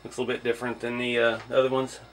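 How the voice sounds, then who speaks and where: A man's voice: medium pitch, completely flat delivery, racing, slight background noise. A man giving an informal hands-on first look as a hobby reviewer, thinking aloud as he goes. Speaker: hobby vlogger reviewing an RC truck.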